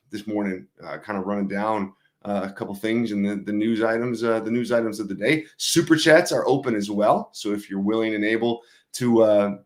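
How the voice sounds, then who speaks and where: A man hosting a live wrestling news show, chatty and upbeat, a bit hoarse from losing his voice. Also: fairly low pitch. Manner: upbeat, chatty, many fillers. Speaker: host. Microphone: streaming setup mic.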